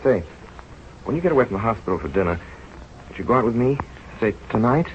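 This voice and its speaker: An actor playing an earnest, intense young medical student with a brisk, excitable manner in an old-time radio drama. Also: male voice, lowish voice, a little hiss or hum, close to an everyday voice. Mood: eager. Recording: muffled vintage radio broadcast recording. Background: music.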